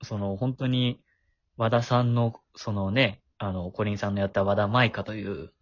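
A man's voice: on the low side, flat delivery, normal speed, dry recording. A man who works as a voice actor and writer, chatting casually and full of compliments about a voice drama. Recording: dull lo-fi audio.

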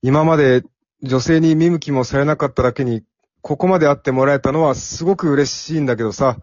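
A man's voice: on the high side, fairly quick, darkish tone, no room sound, lo-fi sound, natural voice. A man playing a timid, earnest young office worker in an amateur radio drama, with plain boyish phrasing. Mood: hesitant.

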